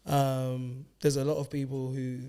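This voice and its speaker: A young man hosts a casual podcast. He asks questions and cheers his co-host on in an easygoing, encouraging manner, at a middling pitch. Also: flat delivery, unhurried, warm tone, clear, resonant tone. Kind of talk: casual chat.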